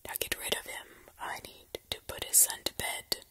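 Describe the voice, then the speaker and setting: A cruel, grinning young man taunts his victims in a hushed, menacing whisper, as a woman puts him on. He mocks and threatens with a pleasant tone that makes it worse. The sound is soft and close, like a horror story told at night. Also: normal speed, natural voice. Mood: cold.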